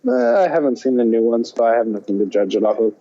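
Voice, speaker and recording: A man's voice: medium pitch, very animated, fast, gravelly, mellow, highs cut off. A man co-hosting a podcast in casual chat, often hesitant and thinking aloud. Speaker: podcast co-host.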